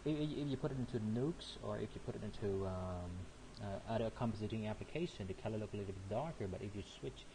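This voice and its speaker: Guy doing a software tutorial, lowish voice, lots of ums, hiss in the background. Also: fast. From Cambodia. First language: not English. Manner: hesitant, thinking aloud with frequent fillers and restarts. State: coughing now and then. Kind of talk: screen-recorded software tutorial.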